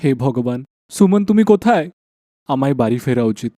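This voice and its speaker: A man playing an anxious, caring village husband in a dramatised animated horror folk tale, with earnest delivery. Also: medium pitch, moderate pace, no room sound, studio quality, close to an everyday voice. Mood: alarmed.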